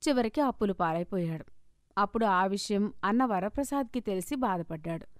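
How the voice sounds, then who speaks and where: A woman's voice: medium pitch, animated, fairly quick, no echo. Woman narrating a story, dry close sound.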